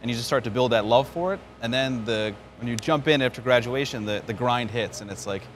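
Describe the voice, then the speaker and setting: A man's voice: mid-range voice, fairly quick. A man from New York, a radio sports announcer, answering interview questions in a conversational storytelling way. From New York, United States. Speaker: baseball radio play-by-play announcer. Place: outdoors at a spring training ballpark. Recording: clear background noise, outdoor ambience.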